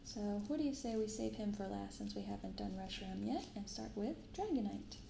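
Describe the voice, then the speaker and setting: A young woman talks in a soft, gentle ASMR manner with a good deal of vocal fry. She chats casually and takes her time. The binaural recording sounds roomy, with clear background noise. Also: medium pitch, animated. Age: 20s. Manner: soft-spoken, gentle, unhurried. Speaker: host of a card-opening ASMR channel. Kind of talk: soft-spoken ASMR, casual unboxing chat.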